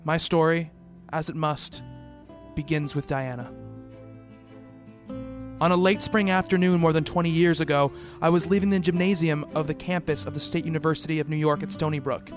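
A man acting in a radio play as an earnest psychiatrist who can be awkward and halting. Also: a little high, steady tone, soft background music, lightly acted. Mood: grave, haunted. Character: earnest male psychiatrist, haunted narrator and shy awkward suitor.

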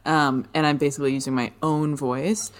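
A woman with a notably low voice, chatting casually as a podcast co-host. She rambles through anecdotes with plenty of "um" and "like", and laughs easily. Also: fairly even intonation, moderate pace. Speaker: podcast co-host, fitness and dance teacher.

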